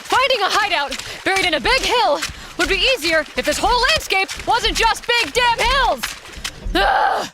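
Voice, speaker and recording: An actress playing an exasperated, sarcastic mech pilot in an audio drama, muttering and cursing to herself. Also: female voice, very high-pitched, animated, moderate pace, background noise. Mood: frustrated.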